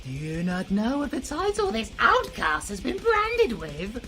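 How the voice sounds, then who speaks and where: A woman's voice: fairly high pitch, wildly swooping pitch, unhurried, fairly bright tone, slight background noise, full character voice. A woman playing a haughty, theatrical, combative villainess in an audio drama, speaking in grandiose challenges. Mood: haughty threat.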